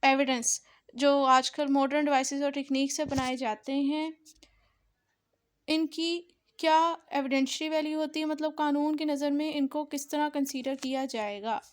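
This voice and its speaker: A woman with a Pakistani accent speaks in a high voice that stays within a very narrow range. She lectures like a law teacher, reading and explaining provisions, with English terms mixed into her sentences. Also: fairly even intonation, moderate pace. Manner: mixes Urdu with English legal terms, repeats explanations.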